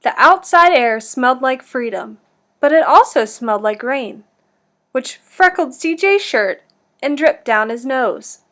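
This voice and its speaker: A woman teacher giving an interactive storybook read-aloud for children, speaking in an instructive, deliberate way. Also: high voice, expressive, fairly bright tone.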